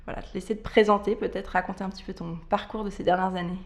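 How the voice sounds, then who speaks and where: A woman's voice: medium pitch, fairly even intonation, fast talker. A woman speaking as the host and interviewer of a podcast. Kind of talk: scripted podcast introduction and interview.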